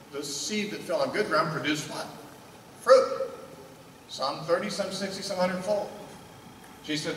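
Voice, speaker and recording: An older man preaches a sermon, posing questions to the room and answering them. His voice sits high for a man. The recording is roomy, with a long hall reverb, and he sounds a bit away from the mic. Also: a bit bright, recorded from a distance, a little hiss or hum. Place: indoor meeting room. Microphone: handheld mic.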